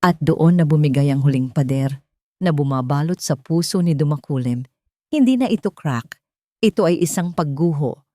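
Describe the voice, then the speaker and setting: A woman storyteller narrating a scripted dramatic audio story in deliberate, sentimental short phrases. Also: low voice, dark tone, no room sound, studio quality. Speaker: storyteller and channel host.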